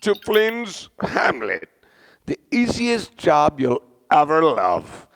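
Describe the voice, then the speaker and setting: Swooping, grandiose stage star. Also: male voice, on the high side, huge pitch swings, takes it slowly, creaky, a bit bright, full character voice. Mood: jovial, breezy. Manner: theatrical, avuncular, declamatory. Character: grandiose, hammy, genial male veteran stage star. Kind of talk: radio play acting.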